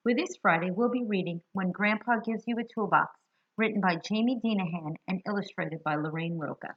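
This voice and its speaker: An American woman reading a picture book aloud to young children, expressive and deliberate, putting questions to her listeners. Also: mid-range voice. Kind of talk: children's read-aloud storytelling. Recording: dull lo-fi sound.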